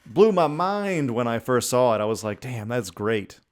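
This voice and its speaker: A man's voice: mid-range voice, very animated, normal speed, clean tone. A man co-hosting a podcast in casual banter, reacting in a laid-back, slangy way with some swearing. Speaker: podcast co-host.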